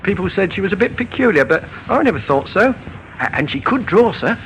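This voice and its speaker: Young postal lad, highish lively voice, earnest, deferential, hesitant, old radio drama, slight noise. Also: male voice, fairly quick, highs cut off. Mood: earnest, nervous. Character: eager, respectful young male postal boy. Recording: faintly noisy old radio recording.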